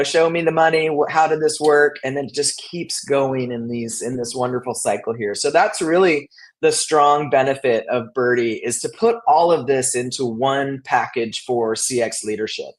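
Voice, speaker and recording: A man hosting a webinar, introducing the speaker in an upbeat, slightly halting way. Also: mid-range voice. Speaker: webinar host. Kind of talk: introducing a presentation.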